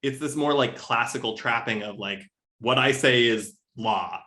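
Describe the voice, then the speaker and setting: A man's voice: medium pitch, a little slow, sharp tone. A man speaking as a literary podcast guest in casual discussion, thoughtful and self-correcting, often checking his points with a tag question.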